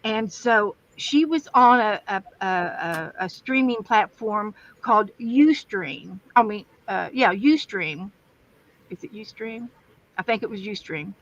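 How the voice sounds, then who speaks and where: A woman's voice: mid-range voice, expressive, a little slow, a bit bright. A woman with a West Virginian accent, telling rambling stories in a casual livestream chat from her home craft room. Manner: chatty, rambling storyteller. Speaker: guest crafter and streamer. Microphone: livestream camera setup. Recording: dull, dry sound.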